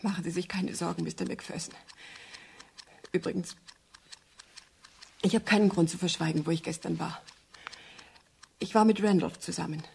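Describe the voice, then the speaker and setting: A woman's voice: fairly low pitch, fairly even intonation, normal speed, creaky, pauses often. An actress playing a reserved, evasive young woman in a 1970s German radio crime drama, polite and hesitant. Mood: guarded. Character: reserved, evasive young female client, bereaved yet composed. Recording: faint hiss of 1970s radio production.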